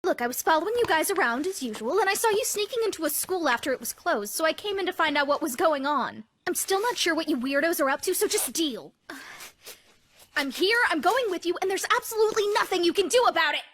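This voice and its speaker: Comic-dub tsundere teen girl, played by a woman: indignant, bossy, animated, bright, some noise. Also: very high voice, fairly quick. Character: brash aggressive tsundere teenage girl.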